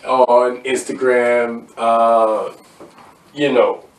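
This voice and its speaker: A man's voice: mid-range voice, little pitch movement, extremely slow, far from the mic. A man hosting a podcast, chatting casually and supportively, often saying "you know what I'm saying.". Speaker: podcast host. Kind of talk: casual chat. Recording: roomy, off-mic sound.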